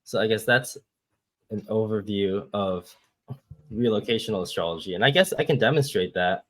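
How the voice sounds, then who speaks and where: A man's voice: fairly low pitch, normal speed, fairly bright tone. A man from Hong Kong, a guest astrologer, patiently explaining step by step over a video call. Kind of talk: explaining with shared screen.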